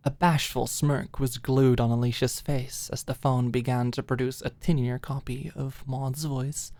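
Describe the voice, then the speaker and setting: A woman's voice: very deep voice, level tone, measured pace, dark tone, dry recording. A woman narrating a scripted ASMR audiobook story, close to the microphone.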